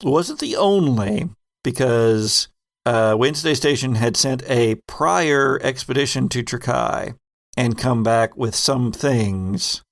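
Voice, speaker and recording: A man talks casually as the game master looking back on a podcast. His voice has a gravelly fry at a middling pitch. The sound is dry, with hardly any room to it. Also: slow, dry recording. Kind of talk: casual retrospective chat.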